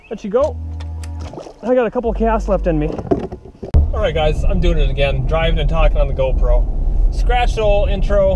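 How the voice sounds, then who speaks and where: A man's voice: a little high, poor audio. A man vlogging in casual, informal angler slang, recorded on an action camera. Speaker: fishing vlogger. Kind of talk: casual vlog chat. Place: outdoors on a lake in a kayak, later inside a vehicle. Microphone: action camera worn on a hat, later mounted in the vehicle.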